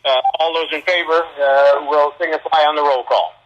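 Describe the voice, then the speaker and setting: A man with a fairly high, forceful voice and a husky edge chairs a public meeting. The sound is dull and lo-fi. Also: fairly even intonation, normal speed. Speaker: board chair. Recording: dull, muffled teleconference audio.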